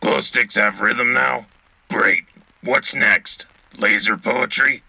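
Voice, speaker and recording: A man playing a gruff, cynical battle-hardened soldier co-hosting a sci-fi war radio drama, gravelly and raspy, terse and sardonic. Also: mid-range voice, fairly even intonation, slow pace, bright, forceful tone, dry recording, full character voice, low-quality recording. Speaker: radio co-host reporting from the front.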